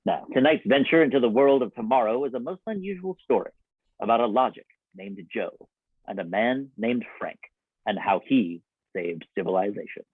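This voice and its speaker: A man announcing and narrating from a script in old-time radio style, with a theatrical, deliberate delivery. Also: medium pitch, expressive, noisy background. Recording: dull, band-limited radio broadcast sound.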